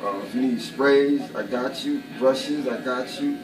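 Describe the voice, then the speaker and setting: A man making a casual sales pitch, slangy and repeating himself. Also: a little slow. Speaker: salesman of barber supplies. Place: barbershop, indoors. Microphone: camera a few steps away. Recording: roomy, distant mic, chatter around.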